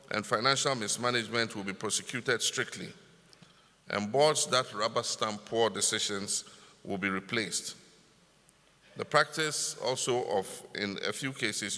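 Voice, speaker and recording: Forceful Ghanaian man in an echoing hall. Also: on the low side. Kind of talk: formal scripted speech. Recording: long reverb of a large hall.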